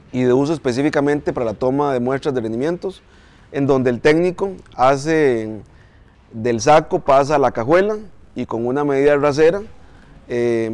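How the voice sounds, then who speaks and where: A man in his forties with a Costa Rican accent, explaining a technical procedure in a measured, methodical way, recorded in a coffee mill warehouse. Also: medium pitch, darkish tone. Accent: Costa Rican Spanish. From Costa Rica. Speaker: coffee institute engineer. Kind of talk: explanatory interview.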